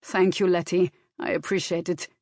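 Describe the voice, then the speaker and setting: A woman voicing a calm, reassuring man, a shopkeeper, in measured audiobook dialogue. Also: fairly low pitch, no room sound, clean studio sound, lightly acted. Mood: calm, guarded. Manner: measured, calming. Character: calm, reassuring male Viennese shopkeeper under threat.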